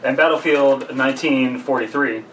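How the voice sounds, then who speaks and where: A man's voice: mid-range voice, normal speed, fairly bright tone, recorded from a distance, low-quality recording. A man co-hosting a podcast in casual, jokey group banter, with some swearing. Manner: profane, jokey. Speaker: podcast co-host. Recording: off-mic, faint background noise.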